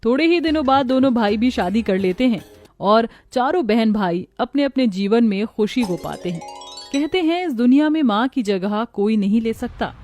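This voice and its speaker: Brief: gentle female narrator for a cartoon story, mellow and high-ish.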